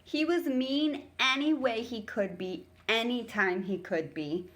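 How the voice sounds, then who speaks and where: A woman's voice: a little high, measured pace, a bit bright, a little hiss or hum. A woman reading a children's story aloud as a teacher would, narrating expressively for young listeners.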